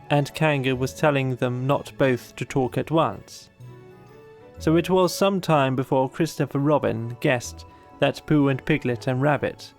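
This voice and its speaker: Bedtime audiobook narrator, slow, animated, scripted storytelling, faint music underneath. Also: medium pitch.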